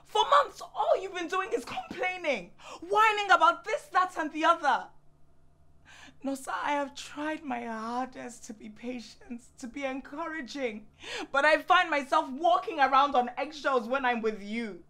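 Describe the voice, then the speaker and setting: A woman's voice: high-pitched, lively intonation, measured pace, pushed and bright, heavily acted. A woman playing a hurt, exasperated wife in a screen drama, speaking with pointed emphasis and repeating words for force. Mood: frustrated, accusing. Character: hurt, exasperated wife confronting her proud husband. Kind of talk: naturalistic screen drama acting.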